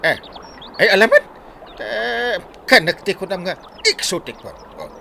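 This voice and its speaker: A man's voice: sits high, expressive, measured pace, vocal fry, sharp tone, a little hiss or hum, heavily acted. A man with an Ilocano accent playing a hapless, squeamish village buffoon in a comedy drama, full of groans and yelps. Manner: groaning interjections, exaggerated yelps. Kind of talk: Ilocano comedy radio-style drama acting.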